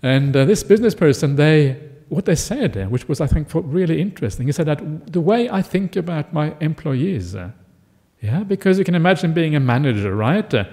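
A middle-aged man born in Norway, a Buddhist monk giving a relaxed, conversational Dharma talk full of "uh" fillers and "yeah?" checks. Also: fairly high pitch, a little fast.

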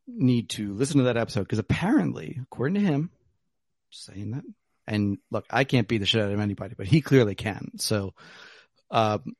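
A man's voice: mid-range voice, a little mellow, dry recording, clean studio sound. A man hosting a podcast, chatting casually over whiskey in a rambling way with plenty of fillers. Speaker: podcast host. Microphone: remote call.

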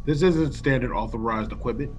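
An actor playing a brisk, impatient colony executive in an American golden age radio drama, curt and commanding. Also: male voice, mid-range voice, a little mellow, slight background noise, natural voice.

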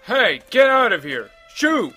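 Guy doing a grumpy town guide, pushy bright voice, music under. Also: high-pitched, big pitch swings, slow pace, husky, full character voice. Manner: brusque, shooing. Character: gruff male town guide, impatient.

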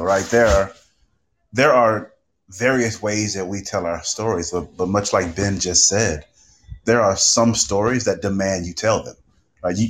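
A man speaking as a podcaster on a panel, unscripted and emphatic, repeating phrases for effect. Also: fairly low pitch. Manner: emphatic, rhetorical repetition.

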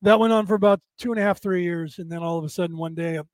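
A man hosting a long spiritual talk show, his voice hoarse and raspy, rambling and emphatic as he talks straight to his listeners. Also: high voice, fairly even intonation, rapid-fire, no echo, studio quality. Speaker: show host. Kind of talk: late-night spiritual talk show monologue.

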